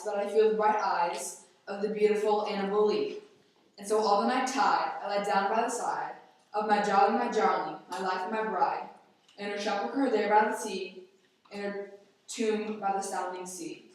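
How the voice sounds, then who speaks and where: A woman's voice: medium pitch, fairly even intonation, moderate pace, lightly acted. A woman playing a gloomy, earnest, pedantic young boy poet in a radio play. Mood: mournful, reciting. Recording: echoey room, distant mic, faint noise.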